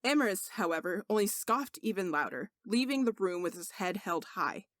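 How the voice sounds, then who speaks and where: A woman's voice: mid-range voice, expressive, moderate pace, fairly bright tone, dry recording, studio quality. A woman reading a scripted fiction story aloud as an audiobook-style narrator. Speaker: podfic narrator.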